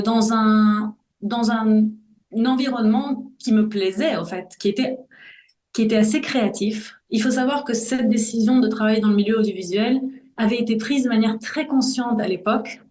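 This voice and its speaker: A woman's voice: medium pitch, darkish tone. A woman, a podcast guest telling her own story in an earnest, reflective way, often searching for her words. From Belgium. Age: 40s. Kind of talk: personal testimony in a podcast interview. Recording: roomy echo.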